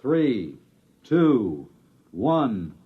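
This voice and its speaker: A man acting as a breezy, brisk spaceship navigator in a 1950s radio drama, on a dull, noisy old recording. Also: medium pitch, breathy edge, exaggerated voice. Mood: intent countdown. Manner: animated, cheeky, brisk.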